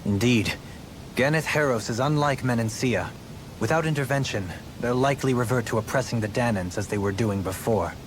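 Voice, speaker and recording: A man playing a composed aristocratic former lord in a video game, with formal, measured phrasing. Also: medium pitch, noisy background, lightly acted. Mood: composed, grave. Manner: formal, measured, stately wording. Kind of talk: video game cutscene acting.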